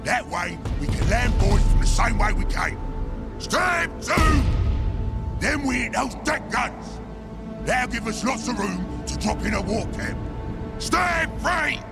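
A man's voice: very high-pitched, sing-song, slow, gravelly, heavily acted. A man voicing a brutish, bossy ork warboss in a video game, barking orders in crude slang. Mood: commanding, scheming. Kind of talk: video game villain acting. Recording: voice under loud game music.

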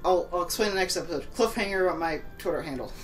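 A man's voice: high-pitched, racing, a bit bright. Sardonic young man over game music. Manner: sardonic, sweary. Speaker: let's play co-host reading fan fiction aloud. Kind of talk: casual banter and mock-dramatic story reading.

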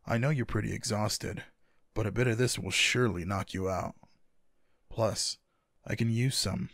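A man playing a tender, teasing, sleepy lover in an intimate boyfriend ASMR roleplay, speaking softly and affectionately in a husky, gravelly voice. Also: fairly low pitch, no echo, studio quality. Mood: caring. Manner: soft, affectionate, unhurried. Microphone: close microphone, dry.

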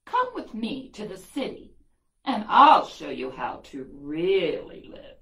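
A woman's voice: on the low side, very animated, slow, fairly bright tone, exaggerated voice. A woman voicing a haughty, bossy female city mouse in a children's story. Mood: scornful. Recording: echoey classroom.

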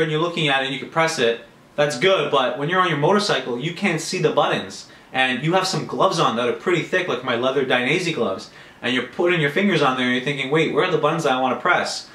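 Young Canadian man, bright voice, roomy sound. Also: fairly high pitch. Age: 20s. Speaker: motorcycle gear reviewer. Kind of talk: casual product review to camera. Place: small furnished room at home, indoors. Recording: roomy, echoey indoor sound.

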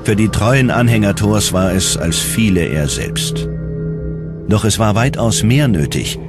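Male narrator, low voice, dramatic scripted documentary delivery, loud music underneath. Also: expressive, a little slow. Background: loud background music.

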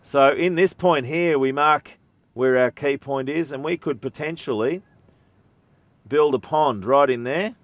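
A man's voice: medium pitch, a little slow, no echo. A young man, a permaculture teacher, explaining a sand model on a beach, thinking aloud with frequent restarts. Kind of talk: outdoor teaching demonstration. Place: outdoors on a sandy beach.

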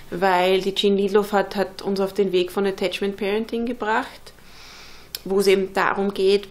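A woman talks earnestly and thoughtfully, filling her pauses with small hesitations. Her voice sits at an ordinary middle pitch for a woman. She is recorded close up in an indoor workshop. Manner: earnest, thoughtful, many fillers. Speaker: interviewee, unschooling mother. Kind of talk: casual interview talk.

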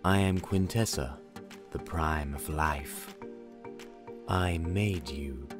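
An imperious alien robot queen from a sci-fi movie, acted out by a man, speaks in an extremely low voice. The delivery is very slow, solemn and portentous, over music. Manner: portentous, deliberate. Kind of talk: slow movie-villain impression.

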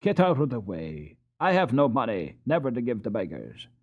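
Guy doing a grumpy old storybook doctor, brusque and scornful, raspy gravelly voice. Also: mid-range voice, wildly swooping pitch, moderate pace, muffled recording. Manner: muttering, brusque. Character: grim, gruff old male doctor, curt and scornful.

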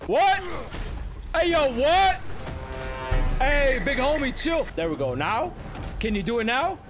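Man, game streamer, very high excited pitch, shouty, repetitive exclamations, loud music behind. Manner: excitable, shouts, repeats phrases rapidly. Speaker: game streamer and video creator. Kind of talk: excited gameplay reaction commentary. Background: video game music and sound.

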